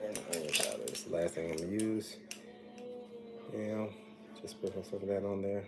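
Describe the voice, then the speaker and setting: A man in his thirties, slightly hoarse, chatting casually as a nutrition coach while cooking in his home kitchen, speaking into a phone at arm's length. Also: low voice, moderate pace, music in the background. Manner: relaxed, chatty, advice-giving. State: slightly hoarse, recovering from illness. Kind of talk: casual live cooking chat. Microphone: phone camera at arm's length, earbuds.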